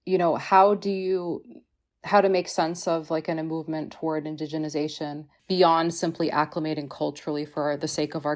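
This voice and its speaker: A woman, a researcher guesting on a podcast, speaking in an earnest, reflective way, full of "like" and "you know". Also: low voice. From Missouri, United States. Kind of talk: podcast discussion.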